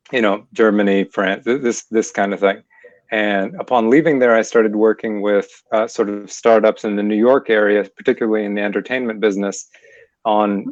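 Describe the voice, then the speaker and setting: A middle-aged man with a low voice speaks in a measured, articulate way over a video call. The audio is dull and lo-fi, with a dry sound and no room echo. He pauses on fillers as he recounts things. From born in Scotland, raised in Pennsylvania. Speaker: mediator and lawyer, interview guest. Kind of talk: casual interview answers.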